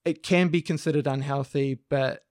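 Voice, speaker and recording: A man co-hosting a podcast with a croaky voice, explaining things at length in a casual chat with frequent restarts. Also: mid-range voice, fairly even intonation, moderate pace, a little mellow, no room sound, clean studio sound. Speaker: podcast co-host. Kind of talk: casual discussion.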